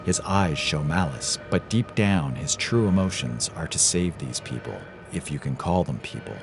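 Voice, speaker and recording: Male narrator for sci-fi audiobook: exceptionally low register, dramatic scripted read over music. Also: lively intonation, normal speed. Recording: music clearly underneath.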